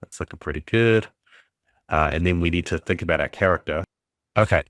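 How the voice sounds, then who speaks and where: Stuffy low-voiced man with a cold. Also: a little fast, fairly bright tone, dry recording, studio quality. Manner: loose and chatty, many ums and uhs, thinks aloud. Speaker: game developer teaching. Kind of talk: casual screen-recorded tutorial.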